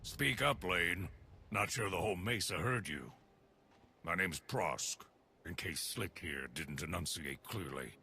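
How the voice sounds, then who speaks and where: A sardonic old-hand miner turned fighter, deep gravelly voice, blunt, game cutscene. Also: male voice, unhurried, bright, forceful tone, slight background noise, exaggerated voice. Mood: wry. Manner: blunt, sardonic, plain-spoken. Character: gruff, wry ex-foreman turned militia fighter. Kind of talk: video game cutscene acting.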